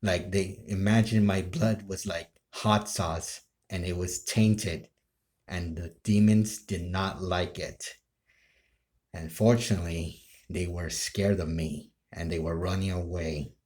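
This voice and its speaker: Casting: man with a low voice and unhurried pace, casual rambling amateur storyteller who keeps interrupting himself. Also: fairly even intonation, darkish tone. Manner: halting, rambling, self-interrupting. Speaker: amateur role-play video creator. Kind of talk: casual improvised storytelling to camera.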